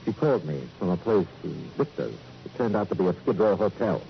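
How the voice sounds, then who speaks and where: An actor playing a suave, self-possessed former racketeer, coolly genteel and clipped, in a radio crime drama. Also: male voice, low-pitched, animated, a little fast, vocal fry, a little hiss or hum. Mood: matter-of-fact. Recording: old radio drama recording, dull, music behind.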